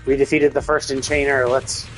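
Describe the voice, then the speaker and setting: A man's voice: mid-range voice, little pitch movement, normal speed, a bit bright, poor audio. A man streaming a game, chatting casually and rambling as he thinks aloud. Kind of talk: casual live gameplay commentary. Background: game music in background.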